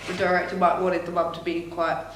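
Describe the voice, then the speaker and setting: A woman's voice: fairly low pitch, completely flat delivery, fairly quick, recorded from a distance. A young woman chatting on a podcast, thinking aloud in a reflective way. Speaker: podcast co-host, actor. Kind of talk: casual chat. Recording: very echoey room, faint noise.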